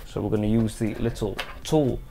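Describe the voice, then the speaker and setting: A man's voice: fairly low pitch, brisk, gravelly, mellow. A man giving a casual hands-on product walkthrough as a shop technician, explaining step by step.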